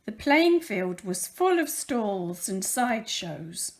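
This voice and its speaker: A woman reading a children's picture book aloud as a volunteer storyteller, with careful, expressive emphasis on the words. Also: mid-range voice, slow.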